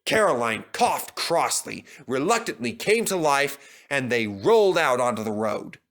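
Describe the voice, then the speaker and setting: Animated male children's storyteller, bright voice. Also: medium pitch, unhurried. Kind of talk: scripted children's story narration.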